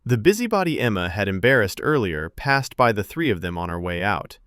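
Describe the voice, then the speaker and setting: Man, low voice, animated intonation, dry close sound, scripted story narration. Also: brisk, no echo.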